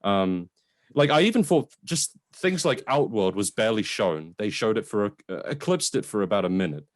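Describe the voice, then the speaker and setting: A man's voice: fairly low pitch, expressive, moderate pace, dry recording, clean studio sound. A man co-hosting a casual, opinionated film discussion, hesitantly conceding points as he argues and swearing casually. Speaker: podcast co-host reviewing films.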